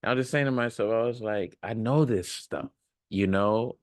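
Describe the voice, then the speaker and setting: A man's voice: on the low side, moderate pace, dry recording. A man in his thirties giving an off-the-cuff, informal motivational monologue, slangy and leaning on emphatic repetition, recorded close on a large microphone. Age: 30s. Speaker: self-improvement content creator.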